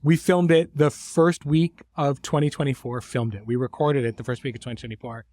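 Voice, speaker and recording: A man hosting a conversational interview, speaking thoughtfully in phrases broken by pauses and restarts. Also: mid-range voice, steady tone, darkish tone, no room sound. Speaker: host, series creator.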